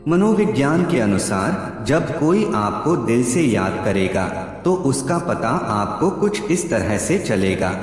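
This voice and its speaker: Echoey male narrator, lively pitch. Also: slow pace. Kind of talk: scripted narration of psychology facts. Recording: heavy echo, long reverb, background music. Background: music.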